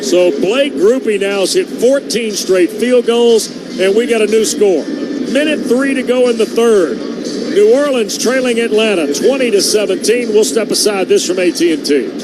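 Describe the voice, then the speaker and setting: A man's voice: sits high, normal speed, over music, rough recording. An American man calling live football play-by-play on the radio in clipped, punchy phrases. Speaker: play-by-play announcer. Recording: loud stadium noise behind the voice. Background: stadium noise.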